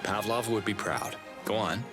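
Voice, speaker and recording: A man's voice: fairly low pitch, moderate pace, lightly acted. A man playing a dry, sardonic, laid-back hero in a video game cutscene. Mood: skeptical. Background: background music.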